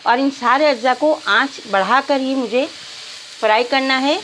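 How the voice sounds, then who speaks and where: A middle-aged woman with an Urdu accent calmly explaining a recipe step by step to viewers in a home kitchen. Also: sits high, unhurried, slight background noise, rough recording. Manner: calm, instructive, addresses viewers as nazreen. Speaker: cooking channel host. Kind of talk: step-by-step cooking instructions.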